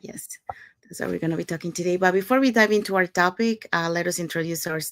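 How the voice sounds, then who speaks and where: Upbeat low-voiced woman host from Panama. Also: quick pace, slight background noise. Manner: upbeat, with repeated "so" openers. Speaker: podcast co-host. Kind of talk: promotional talk show hosting.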